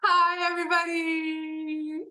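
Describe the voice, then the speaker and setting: A French Canadian woman, a native French speaker, chatting in a lively way as a podcast guest. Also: very high voice, slow. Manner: lively, chatty, laughs easily. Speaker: podcast guest, permanent makeup educator. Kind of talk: podcast interview.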